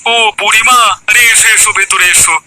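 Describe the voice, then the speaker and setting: Man as a high-pitched kindly village husband. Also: fairly even intonation, fairly quick, very noisy, lightly acted. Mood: concerned. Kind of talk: Bengali animated story dialogue.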